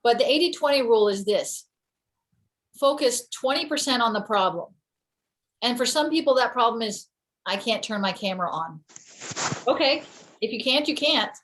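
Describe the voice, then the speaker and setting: A woman teaching an online class, brisk and directive as she runs the call. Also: on the high side, lively intonation, a bit bright. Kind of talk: casual teaching over video call.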